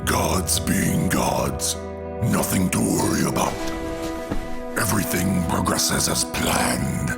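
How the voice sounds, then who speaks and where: A man voicing a brooding, grave alien god butcher in a fantasy audio drama, gravelly, slow and solemn, with halting delivery. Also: low-pitched, expressive, full character voice. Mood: grave, restrained. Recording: long hall reverb under loud music.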